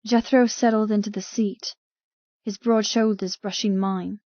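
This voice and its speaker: A woman's voice: mid-range voice, steady tone, measured pace, a little mellow, no room sound, dull audio. A woman narrating a dramatic first-person novel as a frightened captive. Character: frightened captive young woman telling her story. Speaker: audiobook narrator.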